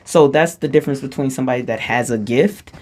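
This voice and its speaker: Woman vlogger with a low register voice, loose and rambling, chuckles between thoughts. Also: fast talker, darkish tone. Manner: rambling, self-interrupting, laughs often mid-sentence. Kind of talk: casual personal storytelling.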